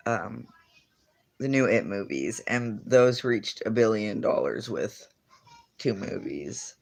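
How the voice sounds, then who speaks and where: A man's voice: on the low side, lively intonation, slow, gravelly. A teenage boy in casual livestream chat, answering viewers and rambling with lots of fillers and self-corrections. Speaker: streamer.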